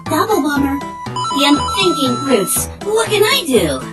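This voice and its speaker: A high, childlike little turtle henchman, cheeky and sarcastic. The mocking delivery leaps around in pitch, cartoon style, with music clearly behind it. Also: sing-song, unhurried. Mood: mock sympathy. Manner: mocking, exclamatory. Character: small cheeky, sarcastic cloud-riding turtle minion. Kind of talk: comic book fan dub.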